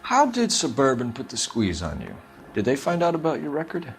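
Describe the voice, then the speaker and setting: Stern interrogating cop for a period crime game; accusatory, animated delivery, mid-range voice. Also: male voice, medium pitch, moderate pace, faint music behind. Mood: probing. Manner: blunt, accusatory. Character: stern, accusing 1940s police detective interrogating suspects. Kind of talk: dramatic video game interrogation acting.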